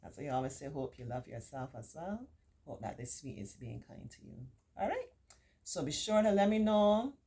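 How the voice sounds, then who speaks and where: Middle-aged female storytime tutor, deep voice, reassuring and encouraging, stretches key words while reading and explaining to children and parents. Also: very animated, moderate pace, mellow. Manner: encouraging, reassuring, repeats points for emphasis. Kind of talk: read-aloud story with warm, informal teaching asides.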